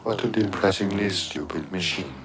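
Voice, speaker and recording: Deep, morose man acting as a robot. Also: moderate pace, background noise, natural voice. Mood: bitter, self-pitying. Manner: morose, deadpan, long-suffering. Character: gloomy, world-weary, menial male robot. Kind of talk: science fiction radio comedy acting. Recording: archive radio drama with crowded sound effects.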